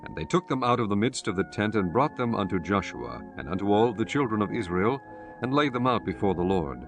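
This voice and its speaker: A man reading scripture as the narrator of a dramatized audiobook, in a solemn, measured manner. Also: fairly low pitch, animated. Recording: music behind the voice.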